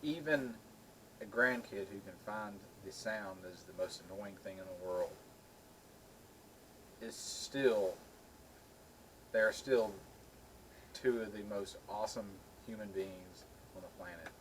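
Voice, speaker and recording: Man, middle-aged, somewhat deep voice, casual chat, noticeably noisy recording. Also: fairly even intonation, measured pace, long pauses, fairly bright tone. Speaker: video co-host.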